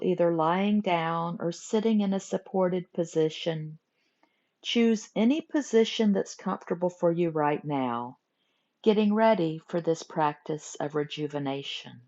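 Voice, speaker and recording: A woman teaching guided meditation, giving gentle instructions in short phrases with pauses between them. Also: sits low. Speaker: yoga nidra meditation teacher. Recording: muffled lo-fi recording.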